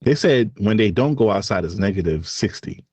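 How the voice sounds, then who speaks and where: A man with a Haitian accent co-hosting a podcast in casual chat, animated and quick to laugh, his voice jumping high when he gets excited. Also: breathy edge, no room sound. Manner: lively, jumps high in pitch when excited, laughs often. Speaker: podcast co-host.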